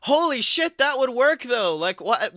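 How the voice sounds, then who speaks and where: A man co-hosting a casual podcast, talking excitedly, swearing freely and laughing often. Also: very high-pitched, unhurried, dry recording, studio quality. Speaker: podcast co-host. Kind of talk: casual pop-culture chat.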